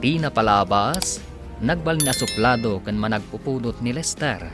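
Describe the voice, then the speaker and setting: A man's voice: medium pitch, moderate pace. Emphatic male radio drama announcer over music. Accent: Filipino (Ilocano). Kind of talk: scripted radio narration. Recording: radio broadcast with prominent music.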